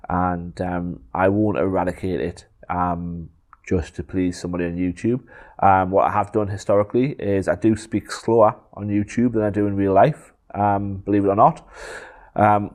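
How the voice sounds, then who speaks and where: A middle-aged man with a broad Geordie accent and a very low, deep voice talks through viewer questions to camera. He is unhurried and chatty, filling pauses with ums and drifting into asides before finding his way back. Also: a little mellow. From northeast England. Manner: unhurried, frequent ums, digressive. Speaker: photography YouTuber. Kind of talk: casual Q&A talk to camera.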